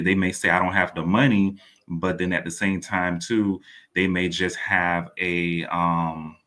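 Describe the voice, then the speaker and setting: A man's voice: sits low, sharp tone. A man giving conversational, rambling answers as an interview guest, often restating himself. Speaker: interview guest, playwright and producer.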